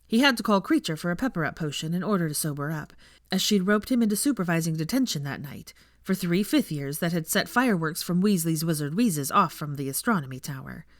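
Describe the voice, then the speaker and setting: A woman reading fan fiction aloud as scripted, expressive story narration. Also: on the low side, fairly quick, dry recording, clean studio sound.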